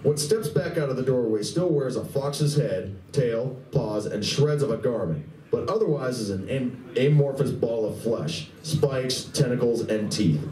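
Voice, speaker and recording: Echoey male narrator, mellow but lively. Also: medium pitch, measured pace, few pauses. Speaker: narrator of a live radio play. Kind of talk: scripted stage-direction narration. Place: live convention performance room. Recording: echoey room, distant mic, faint noise.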